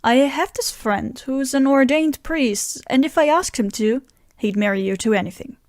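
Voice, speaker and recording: Lady acting as earnest schemer buddy telling a story, voice on the high side, really dry recording. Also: moderate pace, dry recording. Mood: excited scheming. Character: earnest, scheming friend named Bill, narrating the tale. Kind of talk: audio drama dialogue and first-person narration.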